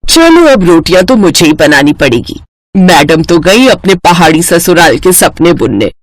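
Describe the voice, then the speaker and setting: A woman's voice: on the low side, very animated, moderate pace, no room sound. Woman acting a grumbling middle-aged Indian mother. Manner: grumbling, world-weary. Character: middle-aged Indian mother, exasperated, practical, worrying wife. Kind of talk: animated moral-story voice acting.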